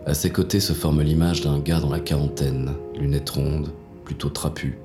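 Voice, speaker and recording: An actor playing a bitter, world-weary police inspector in a noir audio drama, gruff and slangy as he narrates his own story. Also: level tone, normal speed, music in the background. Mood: grim, sardonic. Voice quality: very deep, gravelly. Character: jaded, hard-boiled male police inspector, bitter and shaken.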